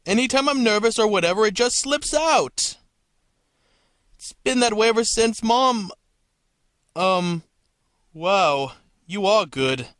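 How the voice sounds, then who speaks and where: A man's voice: high-pitched, animated, takes it slowly, pauses often, fairly bright tone, smooth, no room sound, studio quality, exaggerated voice. A man playing a nervous, sardonic, put-upon interviewee in a comedic cartoon parody.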